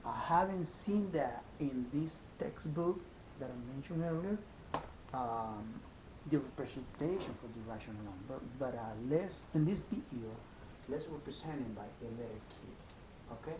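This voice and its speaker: A man's voice: expressive, normal speed. Salvadoran-accented man teaching, echoey noisy room. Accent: Salvadoran Spanish. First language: Spanish. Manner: step-by-step, repeats, checks with "right?". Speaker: math teacher. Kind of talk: informal video lesson. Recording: echoey room, clear rumbling noise.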